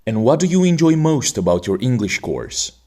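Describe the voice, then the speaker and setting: A man hosts an English exam-prep podcast and acts as examiner. His delivery is formal and teacherly, and his pitch moves a lot. His voice sits at a middle pitch for a man. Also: sing-song, moderate pace, warm tone. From Romania.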